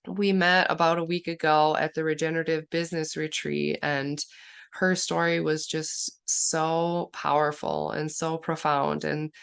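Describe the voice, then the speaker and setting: Low, gravelly woman with a cold. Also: fairly bright tone. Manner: bubbly, enthusiastic. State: has a cold, losing her voice. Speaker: host. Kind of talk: casual video introduction.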